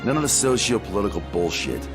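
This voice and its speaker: Gruff, low-voiced cynical man. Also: sing-song, fast. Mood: dry, cynical. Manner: blunt, dismissive, dry. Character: gruff, cynical, blunt adult male fighter and scientist. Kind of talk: video game cutscene acting. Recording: loud music behind the voice.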